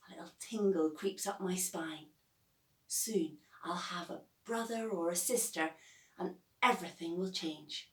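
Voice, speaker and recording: A woman reading a children's story aloud as its author, with expressive, measured and deliberate phrasing. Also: lowish voice. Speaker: author reading own novel. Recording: echoey room, distant from mic.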